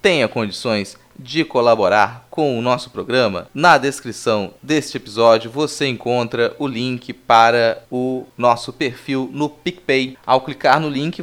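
A man's voice: mid-range voice, a bit bright. A man with a Brazilian accent hosting a podcast, talking in an informal, conversational way. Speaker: podcast host. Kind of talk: podcast sign-off and casual chat.

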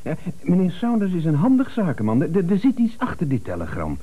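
An actor playing an earnest, stubborn office employee with a hesitant, pedantic way of talking, in radio comedy. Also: male voice, high-pitched, wildly swooping pitch, fairly quick, narrow, tinny audio, exaggerated voice. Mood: puzzled, suspicious. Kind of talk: 1970s Dutch radio comedy acting.

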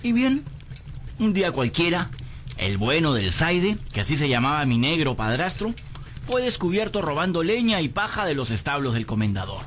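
A man's voice: a little high, big pitch swings, normal speed, fairly bright tone, slight background noise. An actor playing a grown picaresque rogue who tells his life story, theatrical and wry, in a radio drama. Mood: wry, reflective. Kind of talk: dramatised first-person radio narration.

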